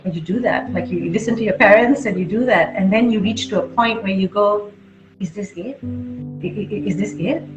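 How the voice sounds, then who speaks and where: A woman's voice: mid-range voice, moderate pace. A middle-aged woman from Malaysia, an interview guest talking casually and candidly about herself, with self-deprecating asides. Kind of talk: casual conversational storytelling. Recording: roomy dull audio, clear music behind.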